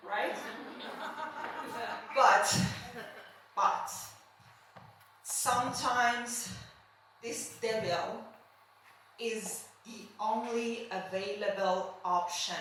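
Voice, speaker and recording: A woman's voice: on the high side, fairly bright tone, distant mic, slight background noise. Persian-accented woman speaking in an echoey hall. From Iran. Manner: earnest, emphatic, with many "right?" and "okay?". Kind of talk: conference talk. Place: large conference hall.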